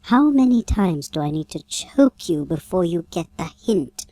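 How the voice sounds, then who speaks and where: A woman voicing a fierce, commanding female dragon in a fantasy audiobook, urgent and forceful. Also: on the low side, very animated, a little slow, no echo. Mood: furious.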